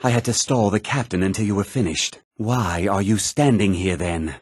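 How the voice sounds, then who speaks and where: A man playing a self-assured, smooth-talking thief and merchant in a fantasy video game, with an expressive conversational delivery. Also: lowish voice, normal speed, no echo, clean studio sound. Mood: haughty. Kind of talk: scripted fantasy game character dialogue.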